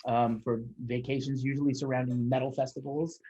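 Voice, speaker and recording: A man's voice: mid-range voice, little pitch movement, fairly quick, mellow, husky, lo-fi sound. A male interviewer on a video call, chatting casually and rambling as an enthusiastic fan.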